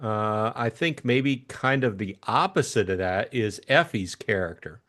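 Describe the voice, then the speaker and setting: A man co-hosting a casual book discussion, thinking aloud in a hesitant, halting way. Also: lowish voice, a bit bright.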